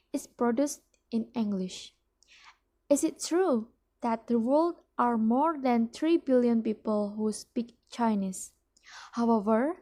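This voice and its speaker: A woman's voice: fairly high pitch, warm tone. A young Indonesian woman with an Indonesian accent, whose first language is Indonesian, reading a promotional speech for a mock business ad with careful delivery and frequent restarts. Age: young adult. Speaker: student presenting a mock business ad. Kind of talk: read-aloud promotional speech.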